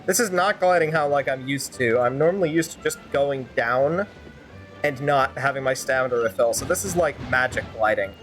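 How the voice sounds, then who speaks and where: A woman's voice: very deep voice, normal speed, faint music behind. A woman streamer improvising fan-dub commentary over a game, sarcastic and rambling.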